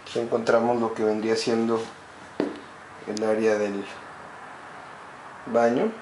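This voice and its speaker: A man giving a slow, methodical walkthrough of an empty home, listing its features room by room. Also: lowish voice, little pitch movement, noisy background. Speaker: home sales guide. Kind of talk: walkthrough narration.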